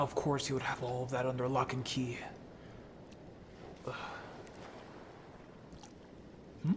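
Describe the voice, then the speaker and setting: A man's voice: medium pitch, a little hiss or hum. A man playing an earnest, sensitive merman in an audio drama, hesitant and emotional in delivery. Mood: puzzled, anxious. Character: earnest, sensitive merman haunted by childhood friendship.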